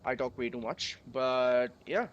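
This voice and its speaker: A man's voice: mid-range voice, unhurried, dull audio. A young man with a sore throat from a cold, chatting casually and rambling while coding on a livestream. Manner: rambling, chatty, many fillers.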